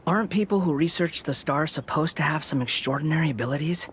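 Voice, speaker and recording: A man's voice: on the high side, fast, a bit bright, slight background noise, lightly acted. A man playing an aloof, mocking young rival in a video game, sarcastic and sneering. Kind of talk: video game character acting.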